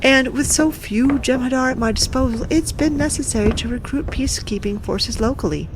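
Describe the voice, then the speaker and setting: A woman playing an authoritative, coolly persuasive occupation official in a science fiction audio drama, formal and measured. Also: high voice, noisy background, close to an everyday voice. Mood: smooth, self-assured.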